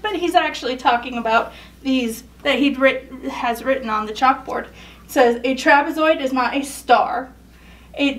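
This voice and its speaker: Young woman doing storytime for kids, really high voice, takes it slow, explains stuff. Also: far from the mic. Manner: explanatory, asks questions and answers them. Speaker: storytime reader. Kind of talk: children's picture-book read-aloud. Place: indoors in front of a photo backdrop.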